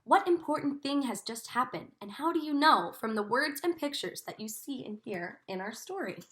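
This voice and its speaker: A woman teacher reading a storybook aloud expressively, in a deliberate, instructive manner. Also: high-pitched, animated, fairly bright tone. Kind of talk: expressive storybook read-aloud with comprehension questions.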